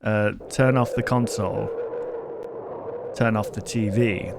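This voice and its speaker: A man's voice: lowish voice, steady tone, slow pace, creaky, heavy background noise. A man giving casual, rambling reaction commentary in a jokey, incredulous manner. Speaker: YouTuber reacting to horror videos.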